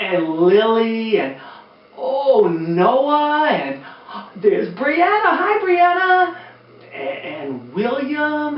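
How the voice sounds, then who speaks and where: A man reading a children's story aloud in an animated, exaggerated way, chatting directly to young listeners. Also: extremely high pitch. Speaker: storyteller, former teacher. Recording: roomy, distant, dull sound.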